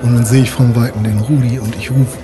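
A man's voice: medium pitch, little pitch movement, fast talker, music in the background. A man casually improvising a first-person story as a player in a tabletop role-playing game.

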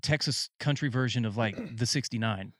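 A man's voice: medium pitch, fairly quick, a bit bright. Easygoing man in his late thirties. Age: 30s. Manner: easygoing, storytelling, self-correcting. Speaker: interviewer. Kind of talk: casual interview chat. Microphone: studio mic.

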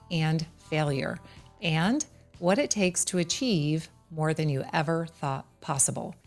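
Low-voiced woman hosting an interview. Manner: measured, emphatic delivery with deliberate pauses. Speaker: podcast host. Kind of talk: scripted intro then interview questions.

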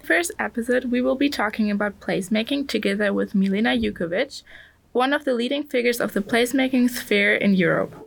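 A woman's voice: a little high, fairly quick, gravelly, low-quality recording. A young woman hosting a student podcast, giving introductions and putting questions to a guest. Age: young adult.

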